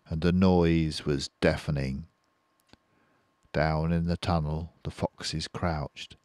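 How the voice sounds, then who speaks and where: An older man reading a children's book aloud as storyteller, unhurried and expressive. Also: sits low, dry recording, clean studio sound.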